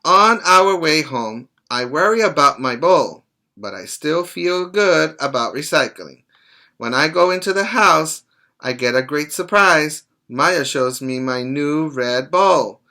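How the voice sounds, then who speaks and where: A middle-aged man teaching preschoolers, reading aloud and explaining things in a deliberate, didactic way. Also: fairly high pitch, sharp tone. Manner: deliberate, didactic, stopping to explain and check understanding. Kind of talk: read-aloud to young children with plain explanations. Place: small indoor room at home.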